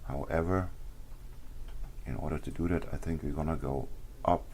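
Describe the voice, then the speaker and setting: Very deep-voiced man with a sore throat. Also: moderate pace, slight background noise. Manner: frequent throat clearing and ums, relaxed explaining. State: sore, worn-out voice. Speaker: trading stream host. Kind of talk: casual live trading walkthrough.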